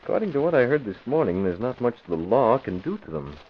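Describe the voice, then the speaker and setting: An actor playing a composed, courteous young newspaper publisher in an old-time radio drama. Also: male voice, mid-range voice, big pitch swings, quick pace, slight background noise, lightly acted. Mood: interested. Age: young adult. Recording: dull vintage radio recording.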